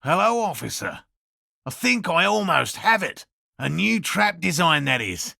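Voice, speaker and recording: An eccentric male cryptozoologist from a video game, earnest and polite to a fault. His voice is bright and edged with heavy fry, swinging expressively in pitch. He phrases things precisely, like a devoted field scholar. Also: big pitch swings, a little slow, no room sound, studio quality, heavily acted. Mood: excited, hopeful. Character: earnest eccentric male cryptozoologist scientist, obsessive but courteous. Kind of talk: video game dialogue voice lines.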